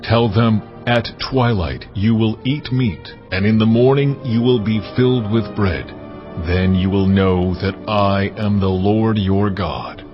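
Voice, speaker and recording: A man's voice: lowish voice, measured pace, close to an everyday voice. A man voicing the Lord as a solemn, commanding divine presence, on dull audio with faint music.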